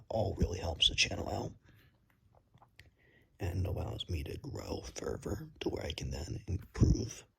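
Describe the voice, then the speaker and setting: Man with a low, husky whisper, chatting casually to ASMR viewers in an apologetic manner, on an iPhone mic. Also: low-quality recording. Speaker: ASMR channel creator. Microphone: phone, no external microphone. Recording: iPhone built-in microphone.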